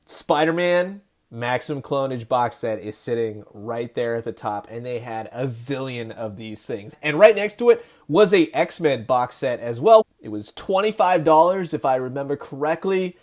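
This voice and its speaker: A man hosting a casual, enthusiastic toy review, telling it in an animated way with emphatic repeats. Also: mid-range voice, moderate pace, hardly any pauses. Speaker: host of a toy collecting review show.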